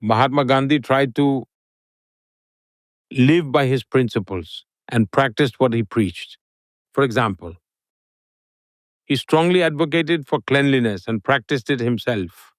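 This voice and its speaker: A man giving an interview guest's answers in English, with a steady, formal delivery like a prepared speech. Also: mid-range voice, unhurried, pauses often, no room sound, studio quality.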